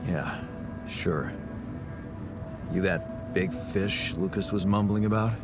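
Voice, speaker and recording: Reluctant game protagonist: lowish voice, tired working man, wary and plain-spoken, naturalistic delivery over clear music. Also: takes it slowly. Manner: blunt, plain-spoken, guarded, sceptical. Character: weary, self-doubting, wary working-man miner. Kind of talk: naturalistic video game acting. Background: prominent music.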